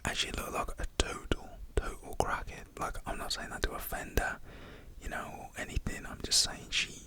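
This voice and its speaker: A man's voice: normal speed, bright, forceful tone. A young man telling a story in a casual, rambling whisper, full of fillers.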